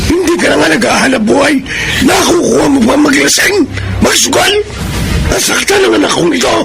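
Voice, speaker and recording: A man's voice: very high voice, vocal fry, exaggerated voice. A man playing a furious, protective older father in a Filipino radio drama, thundering and scolding.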